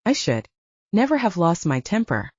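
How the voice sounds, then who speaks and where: A woman's voice: lowish voice, very animated, normal speed, husky, no echo, highs cut off. A woman narrating a dramatic fiction audiobook, reading from a script.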